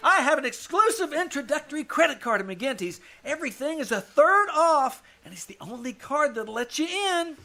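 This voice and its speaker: High-pitched, wheedling teenage schoolboy acted by a woman. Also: sing-song, moderate pace, hardly any pauses, pushed and bright, full character voice. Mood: coy, wheedling. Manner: earnest, coy, slyly persistent. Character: eager, wheedling teenage schoolboy, hinting slyly. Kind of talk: 1950s radio comedy acting.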